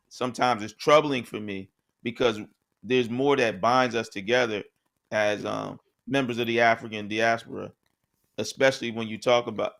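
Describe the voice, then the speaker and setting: A man's voice: medium pitch, creaky, a bit bright. A man co-hosting a podcast, talking in a casual, conversational way and often checking in with "right?". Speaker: podcast co-host. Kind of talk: casual discussion.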